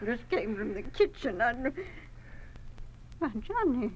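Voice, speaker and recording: An actress playing a warm, bustling older farm wife and mother in radio drama style, homey and full of exclamations. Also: fairly high pitch, very animated, measured pace, dull audio, crowd noise. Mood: joyful, tearful. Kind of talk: 1950s radio drama acting. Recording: noisy old radio recording.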